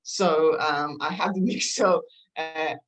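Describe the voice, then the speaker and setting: A woman with a mixed Eastern European and Australian accent, telling her story in a halting, reflective way as an interview guest. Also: low voice, steady tone, poor audio. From former Yugoslavia, moved to Australia at eleven. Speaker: podcast guest, counselor.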